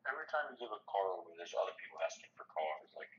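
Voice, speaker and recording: A man's voice: low voice, big pitch swings, rapid-fire, fairly bright tone. A man chatting on a livestream in a joking, teasing way. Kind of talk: casual livestream chat. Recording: roomy, distant, dull lo-fi sound.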